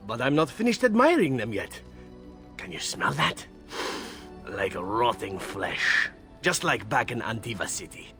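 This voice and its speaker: A man's voice: on the low side, big pitch swings, a little slow, pushed and bright, faint music behind, heavily acted. An actor playing a roguish, playful man in a video game, speaking in a suave, sensual, savouring manner. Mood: wistful, playful. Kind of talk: video game dramatic acting.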